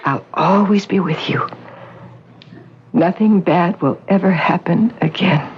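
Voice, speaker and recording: An actress playing a woman who poses as a patient's mother, speaking in a soft, coaxing, soothing way. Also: lowish voice, slow pace, highs cut off, very noisy. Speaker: visitor posing as the patient's mother.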